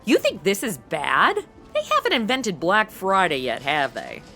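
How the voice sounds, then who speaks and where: A woman's voice: mid-range voice, huge pitch swings, unhurried, pushed and bright, faint music behind, heavily acted. A woman playing a ditzy, cheeky, meddling young sidekick in a comedic audio drama, blithely clueless and exaggerated. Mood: sheepish, defensive. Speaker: comic sidekick, star of the show.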